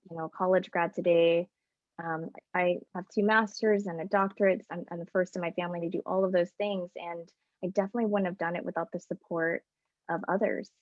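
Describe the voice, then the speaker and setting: A woman's voice: fairly low pitch, no room sound, muffled recording. Earnest woman panelist on a video call. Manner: earnest, storytelling, many fillers. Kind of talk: casual panel talk.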